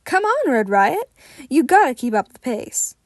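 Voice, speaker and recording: A woman's voice: sits high, sing-song, measured pace, no room sound, clean studio sound, heavily acted. Woman playing Fat Gum, hearty jolly hero. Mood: hearty, jovial. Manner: hearty, jovial. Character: big jolly food-loving male superhero mentor.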